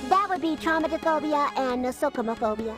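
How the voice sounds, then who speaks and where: A woman's voice: high voice, expressive, brisk, over music. A woman voicing a sassy, cute little sister creature in a cartoon video game. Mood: playful, know-it-all.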